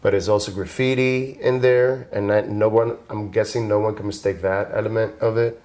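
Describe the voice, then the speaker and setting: A man's voice: lowish voice, moderate pace. Easygoing man, artist guest. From Dominican heritage. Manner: relaxed, easygoing, laughs readily. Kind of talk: casual interview chat.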